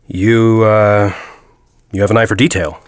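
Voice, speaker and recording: An actor playing a forty-year-old university headmaster in an audio drama, a secretive academic who speaks in a deliberate, articulate, confiding way. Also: male voice, on the low side, little pitch movement, no room sound, studio quality, natural voice. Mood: guarded, challenging. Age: 40s. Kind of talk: audio drama dialogue and storytelling.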